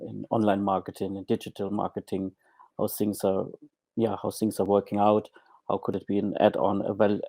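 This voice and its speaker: A man's voice: on the low side, creaky, warm tone, slightly rough, dry recording. A middle-aged German man with a German accent, a podcast guest speaking hesitantly with many ums and restarts. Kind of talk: podcast interview.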